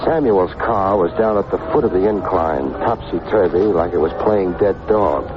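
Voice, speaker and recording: A man's voice: deep voice, animated, normal speed. An actor playing a wry, slangy city private detective in a 1950s radio drama, wisecracking and clipped in his questions. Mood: wry, narrating. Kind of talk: 1950s radio detective acting, first-person narration. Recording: noisy, muffled old radio recording.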